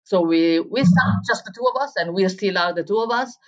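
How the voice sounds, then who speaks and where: A woman from Italy with an Italian accent, answering interview questions as a barista and coffee coach, often pausing and restarting as she looks for words. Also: mid-range voice, lo-fi sound. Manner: searching for words in English, frequent restarts.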